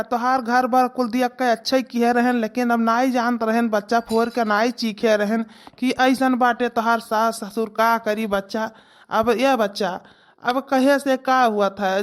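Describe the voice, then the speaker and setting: A woman with an Awadhi accent voicing a kindly, resigned old village father in a cartoon, speaking gently and tenderly. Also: a little high, close to an everyday voice. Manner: gentle, fatalistic, addressing listener tenderly as child. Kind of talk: comic village cartoon dialogue.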